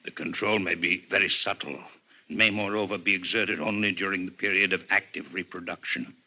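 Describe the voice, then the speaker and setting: Deep, husky alien doctor, grave and formal. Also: male voice, fairly even intonation, sharp tone, heavily acted. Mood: grave, insistent. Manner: formal, measured, lecturing. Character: grave alien physician, weakened, coldly intellectual, formal. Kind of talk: 1950s radio drama acting. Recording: 1950s radio broadcast recording.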